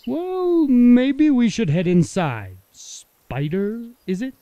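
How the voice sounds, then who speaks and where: An actor playing an affable small-town mayor and realtor in a radio play, folksy and eager to please. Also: male voice, very high-pitched, expressive, slow, warm tone, dry recording, full character voice. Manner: folksy, accommodating.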